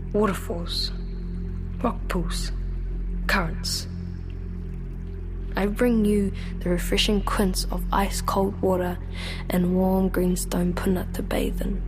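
Slow, solemn water star spirit over music. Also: female voice, fairly low pitch. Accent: New Zealand. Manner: slow, measured, incantatory. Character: solemn female star spirit of fresh water, proud and grieving. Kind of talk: poetic dramatic monologue in an audio play. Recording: music bed under the voice.

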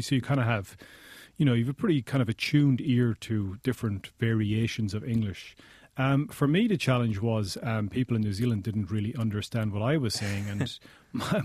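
An Irish man being interviewed in a studio, explaining things in a measured way. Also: on the low side, darkish tone, dry recording. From Ireland. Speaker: interview guest, journalist. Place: radio studio.

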